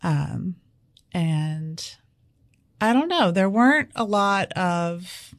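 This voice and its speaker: A woman, a restaurant co-owner talking in a relaxed, hesitant way in an interview. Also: fairly low pitch, drawn-out words. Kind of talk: casual interview.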